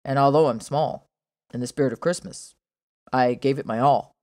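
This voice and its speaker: A woman's voice: a bass voice, normal speed, a little mellow, pitched below the performer's own voice. A woman voicing a small, cool, eager male cat from a children's storybook, with a laid-back, earnest delivery. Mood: proud.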